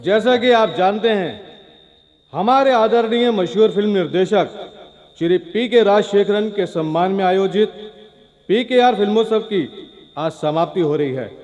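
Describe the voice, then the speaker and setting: An actor playing a respectful, formal master of ceremonies announcing grandly at a film festival in a radio play. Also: male voice, sits high, a little slow, a little mellow. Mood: formal, honouring. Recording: muffled echoing hall with crowd.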